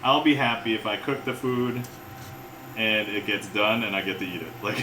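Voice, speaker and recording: Man, cooking stream host, low relaxed voice, chuckles often, echoey kitchen, loud clattering pans and cutlery. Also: normal speed, background noise. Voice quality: relaxed-sounding voice. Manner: rambling, chuckles often, greets chat viewers. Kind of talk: casual livestream chat while cooking. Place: home kitchen. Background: clinking cutlery, pots and pans.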